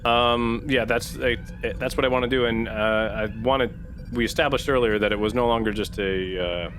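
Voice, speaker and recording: A male player talks through moves in a tabletop game. His delivery is casual and halting, at an average pitch. Faint noise sits in the background. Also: fairly even intonation, fairly bright tone.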